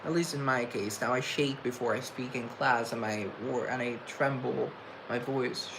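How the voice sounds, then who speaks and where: Young male streamer, mid voice, halting, stuttering, chatty; noisy webcam sound. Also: mid-range voice, fairly even intonation, creaky. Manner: halting, stuttering, restarts words; reflective and chatty. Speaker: livestream host. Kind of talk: casual livestream chat, reading chat aloud. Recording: noisy room tone.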